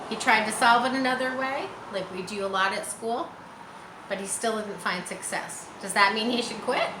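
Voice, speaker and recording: A woman reading a children's story aloud expressively, pausing to explain things as if to schoolchildren. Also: mid-range voice. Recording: roomy echo, faint background noise.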